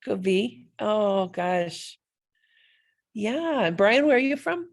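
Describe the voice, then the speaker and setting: A woman's voice: medium pitch, very animated, slow pace, vocal fry. A woman chatting enthusiastically on a video call, effusive and welcoming. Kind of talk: casual video call chat.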